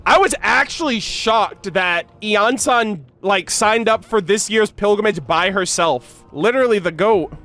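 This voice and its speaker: A woman's voice: medium pitch, measured pace, few pauses, fairly bright tone. A woman giving casual, effusive live reaction commentary as a game streamer, slangy and playfully emphatic. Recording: background music.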